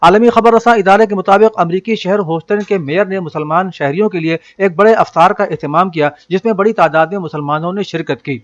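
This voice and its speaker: A man reading a scripted news bulletin in a formal, measured announcer's delivery. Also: high-pitched, lo-fi sound. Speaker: news reader.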